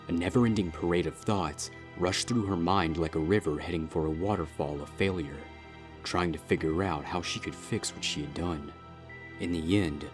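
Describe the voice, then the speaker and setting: A man reading scripted dramatic fiction as the narrator. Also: low voice. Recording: music under the voice.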